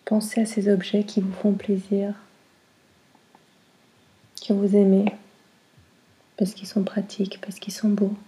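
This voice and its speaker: A woman guiding a relaxation meditation in a soft, breathy, soothing way, in short phrases with long pauses. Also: medium pitch, monotone, poor audio. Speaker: yoga nidra guide.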